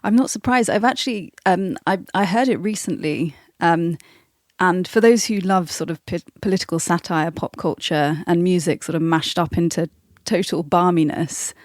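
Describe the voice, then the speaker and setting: A woman's voice: fairly low pitch, moderate pace, darkish tone, no echo, studio quality. A woman hosting a podcast, putting questions to her guests in a casual, conversational way. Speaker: podcast host.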